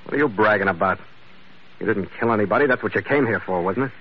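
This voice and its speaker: An actor playing a tough, wry sailing ship skipper in a 1940s radio adventure, both narrating and speaking in character. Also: male voice, on the low side, fast, natural voice. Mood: scornful. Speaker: ship's captain and narrator. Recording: muffled, very noisy old radio recording.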